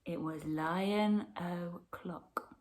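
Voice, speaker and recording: A woman narrating a children's picture book expressively, with dramatic pauses and hushed emphasis. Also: on the low side, fairly even intonation. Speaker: storyteller.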